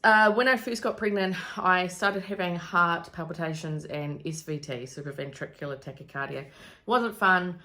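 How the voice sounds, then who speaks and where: A woman's voice: fairly low pitch, normal speed, bright, forceful tone. A woman in her thirties from New Zealand with a New Zealand accent, talking casually to camera in a rambling vlog full of ums and likes. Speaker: vlogger.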